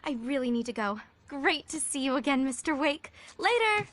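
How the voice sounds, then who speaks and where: A woman's voice: sits high, expressive, moderate pace, fairly bright tone. A woman playing a gushing, bubbly young fan in a video game, chirpy and starstruck. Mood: excited, flustered.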